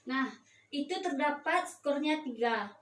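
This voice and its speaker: A young woman gives a step-by-step instructional demonstration as a student, with halting, hesitant delivery. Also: high voice, lively intonation, a bit bright. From Indonesia. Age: young adult. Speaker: nursing student giving a practical demonstration. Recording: echoey room, distant mic, faint noise.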